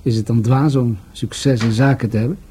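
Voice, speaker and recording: An actor playing a probing, dryly skeptical police detective in a radio play, questioning in a measured way. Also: male voice, mid-range voice, fairly even intonation, warm tone, a little hiss or hum, close to an everyday voice. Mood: skeptical, musing. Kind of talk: Dutch radio play acting.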